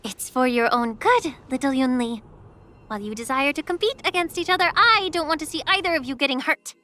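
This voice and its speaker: A woman playing a poised, gently sly healer and official in a video game, polite, measured and coaxing. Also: high-pitched. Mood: gentle instruction. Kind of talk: scripted anime-style video game dialogue. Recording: background music under dialogue.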